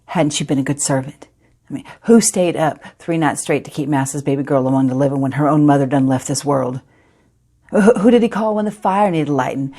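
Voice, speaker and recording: A woman performing a stage monologue as a grieving enslaved teenage girl, telling her story in a hushed, halting way. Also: low-pitched, breathy edge. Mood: anguished, pleading.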